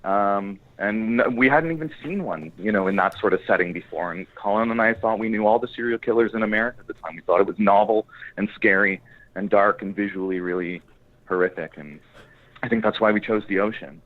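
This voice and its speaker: A man's voice: fairly low pitch, brisk, phone-quality sound. A man chatting casually as an interviewed screenwriter, talking in an effusive, emphatic, rambling way.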